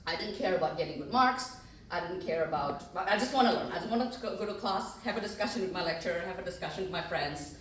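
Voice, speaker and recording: A woman's voice: fairly low pitch, lively intonation, fast, fairly bright tone, a little hiss or hum. A middle-aged woman from Indonesia with an Indonesian accent, a maths professor telling her story on a panel in an earnest, chatty way with many fillers. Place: festival panel room. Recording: echoey room, distant mic.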